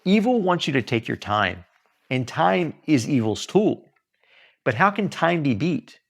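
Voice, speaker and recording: A man reads his own writing aloud like an audiobook author. His pitch moves widely from phrase to phrase, and his delivery is earnest and emphatic, with something of the preacher in it. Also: sing-song, unhurried, vocal fry. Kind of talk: expressive audiobook-style reading.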